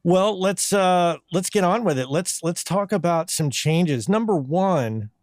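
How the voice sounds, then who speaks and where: A man's voice: sits high, animated, a little slow, darkish tone, dry recording, clean studio sound. A man from southern New Mexico hosting a podcast interview, friendly and conversational, often repeating his words as he goes. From southern New Mexico, USA. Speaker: podcast host. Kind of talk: casual interview chat.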